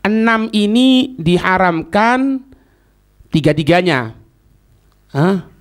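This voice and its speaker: A man's voice: high-pitched, expressive, slow. A man with a Javanese accent teaching a religious lesson, didactic, repeating phrases and checking that students follow. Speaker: Islamic teacher.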